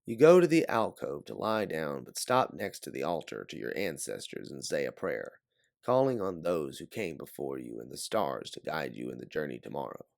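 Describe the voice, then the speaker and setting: Low gravelly male audiobook narrator. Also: very animated, normal speed, no echo, studio quality. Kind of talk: scripted dramatic story narration.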